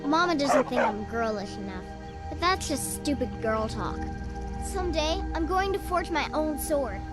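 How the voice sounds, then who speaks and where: An actress voicing an eager, boastful young tomboy, a blacksmith's apprentice, in a fantasy video game. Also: female voice, high voice, animated, normal speed. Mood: proud, defiant. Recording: loud music over the voice.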